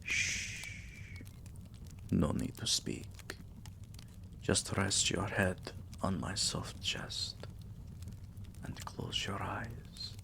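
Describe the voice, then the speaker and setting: A man playing a tender, possessive vampire king in an intimate ASMR roleplay, murmuring soothingly and often whispering, with a deep, gravelly voice. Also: very slow, pauses often, bright, forceful tone, a little hiss or hum, heavily acted. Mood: soothing, loving.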